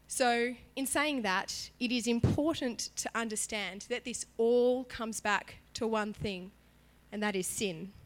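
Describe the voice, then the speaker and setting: A woman worship leader giving a sermon in a church, chatty and animated in her emphasis, her voice partly gone from illness. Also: a little high, takes it slowly. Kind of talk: sermon with prayer.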